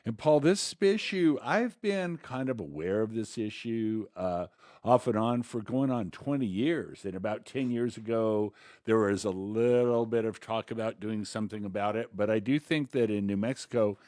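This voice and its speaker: Middle-aged man, lowish voice, talking politics. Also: normal speed, a little mellow, dry recording, studio quality. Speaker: talk show co-host. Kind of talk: conversational political commentary.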